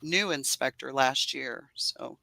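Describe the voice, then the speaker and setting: Woman beekeeper w a soothing bright voice, chatty and patient, giggles a lot, dead dry sound. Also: a bass voice, slow, gravelly, no echo, poor audio. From Indiana. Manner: friendly, explains patiently, laughs often. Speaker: beekeeper guest. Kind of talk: casual interview chat.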